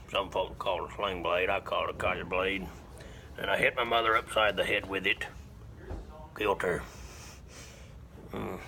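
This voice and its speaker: A man playing a slow, simple-minded rural Southern man in a dramatic monologue, deadpan and halting, with grunted hums between phrases. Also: sits low, slight background noise, low-quality recording. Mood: grim, resigned. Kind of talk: dramatic film monologue rendition.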